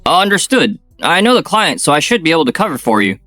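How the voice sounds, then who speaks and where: Earnest young male employee voiced by a man, lively and dry. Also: a little high, fast talker, no room sound, soft background music. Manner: polite, earnest.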